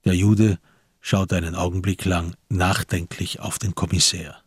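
A man with a husky voice, narrating a radio crime drama from a literary script. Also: deep voice, level tone, a little slow, no echo, studio quality.

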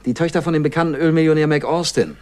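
An actor playing a cheerful, cheeky detective's sidekick in a jaunty, coaxing way, in a 1960s TV adventure series. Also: male voice, fairly high pitch, fast talker, darkish tone, natural voice. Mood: chummy, cheeky.

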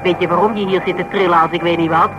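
Casting: actress for a sharp-witted Dutch woman, Netherlands accent, blunt and mocking in radio play banter. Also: fairly low pitch, fairly even intonation, racing, dull audio, background noise, close to an everyday voice. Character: sharp-witted, teasing woman, confidante of a police detective. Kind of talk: naturalistic radio drama dialogue.

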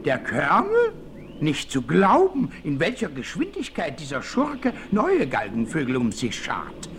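A quirky, wry old-west character in a vintage radio play. The voice is raised and swoops wildly up and down, exclamatory, over faint vinyl noise. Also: male voice, a little high, wildly swooping pitch, measured pace, fairly bright tone, full character voice. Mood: indignant disbelief. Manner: teasing, exclamatory. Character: quirky, wry male frontiersman nicknamed an aunt. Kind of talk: 1960s German radio play acting. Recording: slightly noisy old vinyl.

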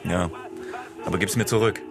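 A man's voice: deep voice, fairly even intonation, moderate pace, over music. An actor playing a blunt, gloomy working-class man in a radio crime drama, speaking in terse, dry phrases. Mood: matter-of-fact. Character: blunt, gloomy working-class male comrade bearing bad news.

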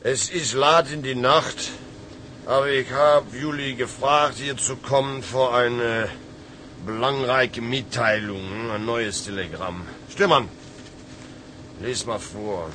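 Slow, gruff old German sea captain. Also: male voice, medium pitch, steady tone, bright, forceful tone. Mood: grave. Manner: slow, mixes in German words. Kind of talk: radio play acting. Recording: clear background noise.

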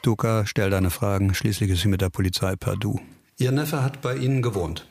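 An actor playing a dogged, sardonic police investigator in a radio crime drama, terse and assured. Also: male voice, fairly low pitch, fairly quick, vocal fry, a little mellow. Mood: brisk, probing.

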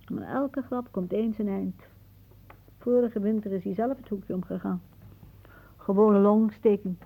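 An actress playing a wry older aunt in a radio play, musing dramatically and haunted by death. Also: female voice, mid-range voice, fairly even intonation, a little slow. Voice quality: husky. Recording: muffled, phone-like old radio recording.

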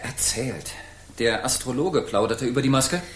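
A stern, anxious knight captain, played by a man in a radio drama for children. He has a medium-pitched voice and speaks in formal, old-fashioned courtly phrases. The sound is roomy. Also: lively intonation, moderate pace, close to an everyday voice. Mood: grave, suspicious. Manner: formal, archaic courtly diction.

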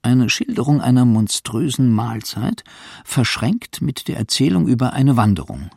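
A man's voice: mid-range voice, lively intonation, normal speed, gravelly, darkish tone, slightly rough, dry recording, clean studio sound. A man narrating a scripted radio documentary.

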